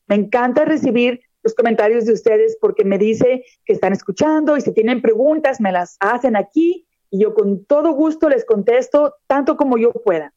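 Mexican woman radio host, middle-aged, encouraging coach, mellow tone, dry muffled recording. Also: a little high, no echo. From northern Mexico. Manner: earnest, encouraging, addresses listener directly. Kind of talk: motivational talk on live radio show.

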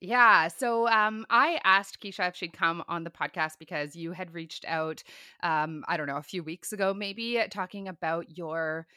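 A woman hosts a podcast interview, asking questions in a friendly, somewhat hesitant way. Her voice sits fairly low. The sound is dry and close, with no room to it. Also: moderate pace, bright, forceful tone, smooth, no room sound. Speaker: podcast host. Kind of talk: casual interview hosting.

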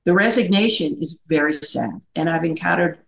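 A woman's voice: deep voice, lively intonation, moderate pace, creaky. A middle-aged woman who works as a life coach, talking earnestly over a video call and often checking in with "right?". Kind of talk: conversational video-call interview.

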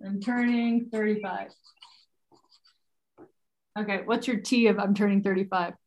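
A woman's voice: medium pitch, fairly even intonation, unhurried, a little mellow, muffled recording. A woman hosting a conversation, coaching her guest with direct, probing questions and jokes.

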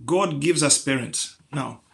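A man's voice: fairly high pitch, a little slow, creaky, fairly bright tone. A man preaching a sermon, building through rhetorical questions to emphatic peaks.

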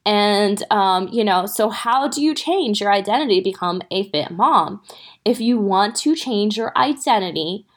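A woman's voice: mid-range voice, expressive, measured pace. Mom fitness coach doing her podcast, a bit stuffy, chatty and encouraging, keeps asking right?. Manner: upbeat, talks straight to listeners, many "right?" asides. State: stuffy from a sore throat. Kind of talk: casual motivational podcast talk.

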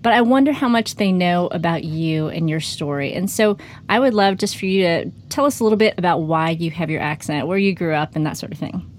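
A woman's voice: lowish voice, a little fast, hardly any pauses, a little hiss or hum. A woman hosting a podcast interview, asking questions and responding with eager, affirming remarks. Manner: enthusiastic, affirming interviewer who laughs easily. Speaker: podcast host. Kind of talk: interview conversation.

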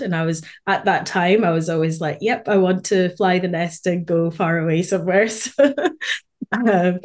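A woman in her late twenties with a Scottish accent from Edinburgh, speaking as a podcast guest in a relaxed, thoughtful way on a remote call. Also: fairly low pitch. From born in Malawi, raised in Scotland. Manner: thoughtful, with frequent fillers and light laughs. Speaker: podcast guest, quantity surveyor. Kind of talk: casual conversational interview.

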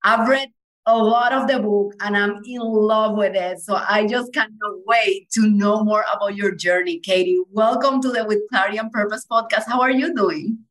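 A woman's voice: mid-range voice, steady tone, normal speed, a bit bright, poor audio. Woman podcast host from Cuba, effusive and eager interviewer. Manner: enthusiastic, effusive.

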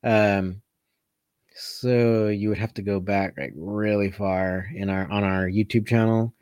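Relaxed guy coach doing a live q&a, soft mellow tone, keeps rewording himself, really dry close sound. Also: on the low side, smooth, dry recording. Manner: halting, thinks aloud with repeated rephrasing. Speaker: catch wrestling coach hosting a live Q&A. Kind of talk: casual live chat answering viewer comments.

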